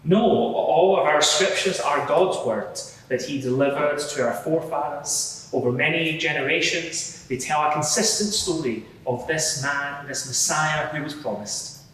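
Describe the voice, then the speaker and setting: A man's voice: a little high, a little slow. Earnest rabbi witness played by a man, very echoey. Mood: earnest, fervent. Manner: earnest, declamatory, preaching. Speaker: witness in a mock court inquiry. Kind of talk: dramatised courtroom testimony. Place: large echoing courtroom, live event. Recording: echoey hall, distant, loud background noise.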